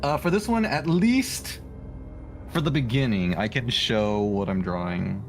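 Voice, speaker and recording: An animated, casually chatting man streams while he draws. His talk rambles and is giggly, with pitch that moves around a lot. Music plays clearly behind him. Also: unhurried. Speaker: streamer drawing art live. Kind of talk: casual livestream chat.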